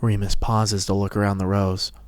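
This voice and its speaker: A man's voice: lowish voice, flat delivery, moderate pace, no room sound. A man narrating a fan-fiction story as a scripted dramatic reading.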